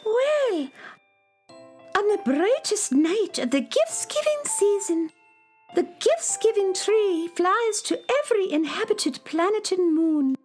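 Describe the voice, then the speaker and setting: A woman playing a kindly, chirpy female forest pixie in a children's radio drama, explaining things in a gentle, storybook lilt. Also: extremely high pitch, expressive, slow, soft background music, full character voice. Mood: wondering.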